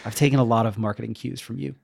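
A man's voice: mid-range voice, steady tone, very fast, creaky, darkish tone, dry recording, studio quality. A middle-aged man talking casually and reflectively as a podcast guest, explaining things in an informal way. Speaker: interview guest, web developer and educator.

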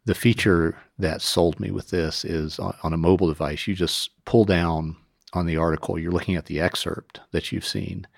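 Deep, husky-voiced male podcast host. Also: fairly even intonation, normal speed, no echo, studio quality. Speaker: podcast host interviewing a guest. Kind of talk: casual podcast chat.

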